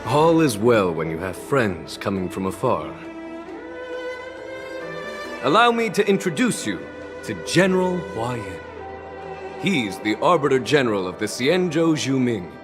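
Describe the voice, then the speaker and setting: A man's voice: medium pitch, sing-song, measured pace, music in the background. A man voicing a courteous, composed, amiable commanding general in a video game, formal and gracious. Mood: gracious, welcoming. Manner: formal, smooth-mannered, gracious.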